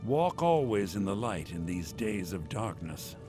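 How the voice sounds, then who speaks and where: A man playing an earnest, troubled priest of the dead in a fantasy game, solemn and theatrical, with a gravelly voice. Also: on the low side, very animated, unhurried, music in the background. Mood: weary, reverent. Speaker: voice actor in a fantasy video game.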